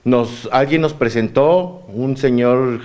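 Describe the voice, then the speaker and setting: A man's voice: mid-range voice, slow, warm tone. A man in his fifties with a Mexican Spanish accent, a guest telling stories in a campy, playful way. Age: 50s. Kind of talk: casual chat.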